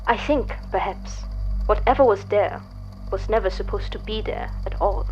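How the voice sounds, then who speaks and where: Measured, cryptic visitor in muffled audio drama played by a woman. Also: medium pitch, a little mellow, faint music behind, natural voice. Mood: grave, certain. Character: cryptic, self-assured visitor expounding conspiracy theories.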